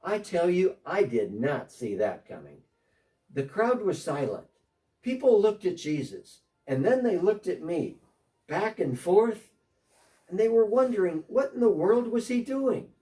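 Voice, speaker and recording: A man's voice: sits high, sing-song, unhurried, dark tone, far from the mic. A man performing a first-person dramatic monologue as a short, eager, repentant tax collector, telling his story earnestly as if on a phone call. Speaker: dramatic reader.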